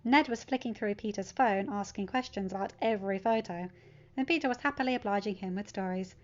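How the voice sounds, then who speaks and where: A woman narrates a piece of fiction in a scripted storytelling style. Her voice sits at an average pitch for a woman. The recording is a little muffled. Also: brisk.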